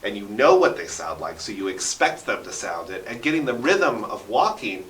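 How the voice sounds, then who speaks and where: A man gives a casual, lively interview with big swings in pitch. His voice is bright and forward, and he explains things eagerly, tagging points with "right?". The recording is roomy, with the microphone at a distance. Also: normal speed. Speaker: interview guest, radio drama writer and sound effects performer. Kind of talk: casual animated interview talk. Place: radio station studio. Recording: roomy studio echo, distant mic.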